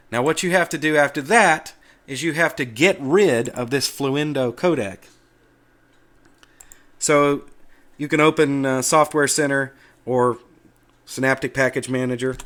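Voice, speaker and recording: Male tutorial host, medium pitch, folksy and plain-spoken, casual unscripted explaining. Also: a bit bright. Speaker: host of a Linux tutorial video. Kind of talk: casual screencast talk, explaining off the cuff.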